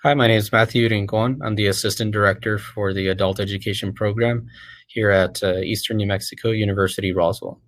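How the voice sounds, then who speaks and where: A man with a fairly low voice speaks as a panelist on a video call. He gives a short, formal professional introduction. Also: steady tone, fairly quick. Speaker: panelist, adult education assistant director. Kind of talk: brief formal self-introduction.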